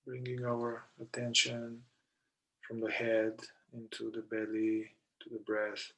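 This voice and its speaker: A man leading a guided meditation in short, deliberate phrases with long pauses between them. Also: medium pitch, completely flat delivery, sharp tone, low-quality recording. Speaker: meditation guide, somatic therapist.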